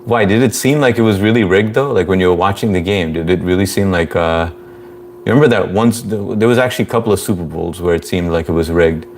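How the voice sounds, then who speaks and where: Deep, monotone man hosting a live stream. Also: quick pace, slight background noise. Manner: laid-back, reads chat aloud, chuckles often. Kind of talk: casual live stream chat reading comments.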